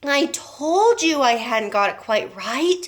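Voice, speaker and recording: A woman's voice: high voice, big pitch swings, brisk, fairly bright tone, voice pushed up. A woman voicing an eccentric male candy maker who sighs and frets. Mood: sighing. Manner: fretful, sighing, apologetic.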